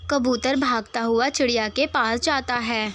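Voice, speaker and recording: A woman's voice: fairly high pitch, fairly even intonation, a little slow, fairly bright tone. A woman narrating a scripted children's cartoon story as the storyteller.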